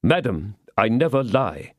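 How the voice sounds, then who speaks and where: A man voicing a grave, upright young soldier who speaks in a measured, serious way. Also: medium pitch, sing-song, no echo, clean studio sound.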